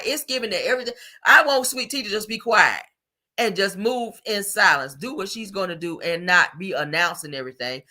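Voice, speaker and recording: A woman doing casual, blunt reality-TV gossip commentary for her viewers, her voice deepened by allergies. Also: pushed and bright. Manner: blunt, slangy, talks straight to viewers.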